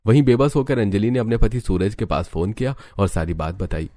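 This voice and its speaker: A man's voice: lowish voice, lively intonation, brisk, mellow, no echo. A man narrating a scripted family cartoon story.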